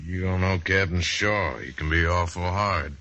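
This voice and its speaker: A very low male voice as a loyal frontier trooper on a dull, band-limited vintage radio recording. He talks in rustic, folksy turns, resigned to his lot yet forceful. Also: normal speed. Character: resigned, loyal cavalry soldier owning up to trouble. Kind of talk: old-time radio western acting.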